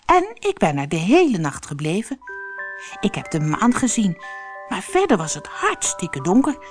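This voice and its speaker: A woman's voice: medium pitch, wildly swooping pitch, normal speed, soft background music, pitched above the performer's own voice. A woman voicing a small, wistful and proud female rag doll in a children's storybook, talking in simple childlike sentences.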